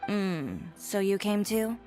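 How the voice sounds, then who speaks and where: A woman's voice: medium pitch, expressive, slow, a little mellow. Cool, blunt young woman, music behind. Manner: blunt, dry, clipped. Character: cool, blunt, self-assured young woman boutique owner. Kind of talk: video game voice acting. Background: game music.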